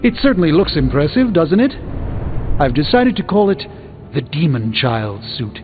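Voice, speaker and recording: Pompous, precise sorcerer, thin muffled audio. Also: male voice, fairly high pitch, normal speed. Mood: haughty, pleased. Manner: formal, precise, deadpan. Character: pompous middle-aged male sorcerer, dry, haughty and learned. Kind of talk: video game voice acting. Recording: muffled phone-like audio under loud music.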